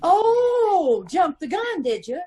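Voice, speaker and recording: A woman's voice: very high voice, very animated, takes it slowly, dark tone, full character voice. An American woman playing a plucky, teasing detective's wife in an old-time radio comedy, arch and playful. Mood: surprised, curious.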